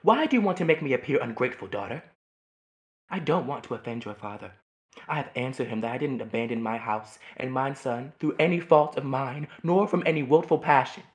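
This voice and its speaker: A woman's voice: very deep voice, animated, a little fast, darkish tone. A woman playing a grieving, wronged mother in a stage drama, with a solemn, pleading delivery. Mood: pained, pleading. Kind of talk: theatrical stage play acting.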